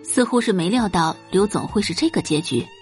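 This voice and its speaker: Expressive female story narrator, lively intonation. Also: medium pitch, a little fast, faint music behind. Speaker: audiobook narrator. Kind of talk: scripted dramatic story narration.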